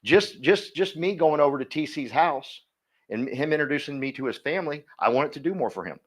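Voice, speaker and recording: A man leads a workshop in front of a live room, his pitch swinging up and down as he teaches. He is energetic and folksy, constantly quizzing the crowd and drawing answers out of it. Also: brisk. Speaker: workshop coach. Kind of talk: interactive seminar teaching.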